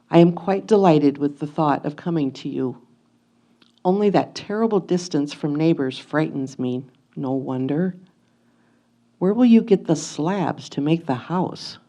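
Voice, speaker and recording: Female actor as anxious, inquisitive pioneer wife, warm dark tone, deliberate letter reading for reader's theatre. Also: low-pitched. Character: anxious, curious 1850s wife writing to her absent husband.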